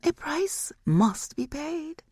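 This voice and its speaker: A woman's voice: high voice, very animated, slow, mellow, no room sound, studio quality, voice pushed up, heavily acted. A woman voicing a scheming, mocking demon mother in an audiobook.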